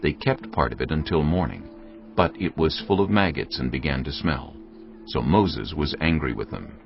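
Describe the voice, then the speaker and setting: A very low, gravelly man narrates a scripture drama. His reading is animated in pitch and clearly scripted. Faint music plays under slightly dull audio. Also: moderate pace.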